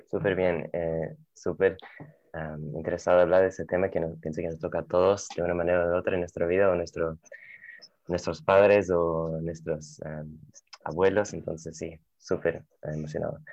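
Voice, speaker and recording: A man with a deep, flat voice on a dull recording. He chats casually as a podcast co-host, pausing haltingly to find his words. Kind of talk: casual chat.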